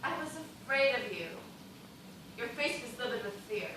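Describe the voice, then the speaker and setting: A plaintive castaway dancer acted by a woman speaks with theatrical despair in a stage play. Her voice is slightly high and distant, washed in heavy echo on a noisy recording. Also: animated, moderate pace, close to an everyday voice. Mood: uneasy. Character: fearful, despairing young dancer, shipwreck survivor on a raft. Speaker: stage actor. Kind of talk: stage play dramatic acting. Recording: very echoey stage, distant mic, loud noise.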